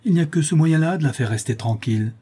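A man's voice: a little high, lively intonation, fast talker, warm tone, breathy edge, voice pushed up. A man voicing a feverish, complaining wife in a storybook, with a plaintive, impatient manner. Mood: impatient, doleful.

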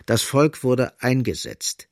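A man's voice: mid-range voice, big pitch swings, slow, creaky, no echo, clean studio sound. A man narrating a scripted literary radio drama as storyteller.

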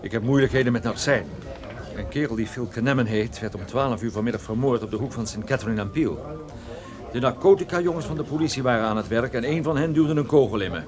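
An actor in a radio play playing a tough, persistent private detective who asks dry, pointed questions. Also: male voice, medium pitch, expressive, fast, over music, natural voice. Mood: matter-of-fact.

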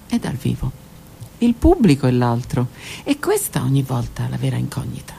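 A woman's voice: deep voice, creaky, slight background noise. A woman performing a theatrical monologue for radio, intimate and reflective, moving between narration and direct address. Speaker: actress and theatre teacher.

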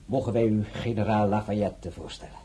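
A man's voice: fairly low pitch, fairly even intonation, moderate pace, warm tone, slight background noise, natural voice. A man playing a stiff, formal government envoy.

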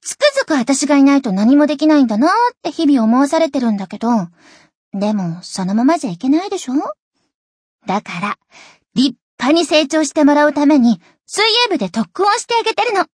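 Anime game big sister, teens: high, very animated, slightly husky, bossy but doting. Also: female voice, a little fast, no echo, clean studio sound. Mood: smug, exasperated. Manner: self-assured, scolding yet doting. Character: bossy, teasing teenage big sister, swim club captain. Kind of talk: anime game voice acting, character monologue.

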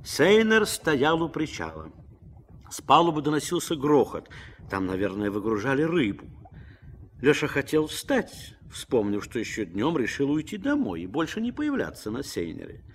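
Very expressive male radio play narrator. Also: mid-range voice, normal speed, a little hiss or hum.

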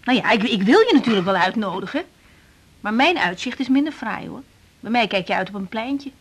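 An actress with a Netherlands Dutch accent playing a tender, shy young doctor, hesitant and soft, in a 1980s radio drama. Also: female voice, fairly high pitch, expressive, fairly quick, husky. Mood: shy, awkward. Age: young adult. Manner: hesitant, soft, repeating words. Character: tired young woman doctor, tender, slightly shy dinner guest.

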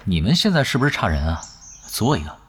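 A man's voice: fairly low pitch, big pitch swings, quick pace, faint music behind. An actor playing a cheeky, unflappable young male gamer in a radio drama, speaking in a nonchalant, terse way. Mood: cheeky, casual. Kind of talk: Chinese radio drama dialogue.

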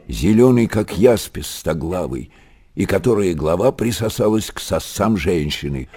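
A male storyteller narrates an old monastery tale for radio. His voice is fairly low and soft-edged, with widely moving intonation and unhurried, expressive phrasing. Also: slightly rough, full character voice. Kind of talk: literary radio-drama narration.

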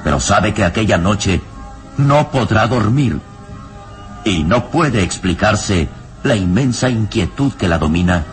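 Narrating an old horror radio serial, dramatic and suspenseful, clear music bed underneath. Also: male voice, fairly low pitch, animated, measured pace. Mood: solemn, brooding.

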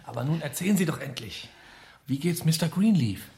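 Radio drama: young swindler, higher-pitched, very animated, chatty, nervously jocular, feigning concern. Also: male voice, fairly quick. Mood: solicitous. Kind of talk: German radio play dialogue.

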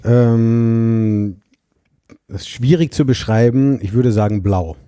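Very warm-voiced man, hesitant and dry. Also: medium pitch, slow, clean tone, no echo. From Heidelberg, Germany. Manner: hesitant, many filler sounds, dry humour. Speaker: guest, painter and designer. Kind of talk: casual interview. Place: studio.

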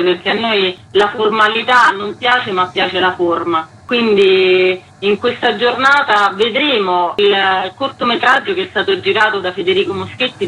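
A woman giving a radio interview as a festival curator. Her voice is bright and forward at a medium pitch, and she explains in a conversational style. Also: slight background noise, rough recording.

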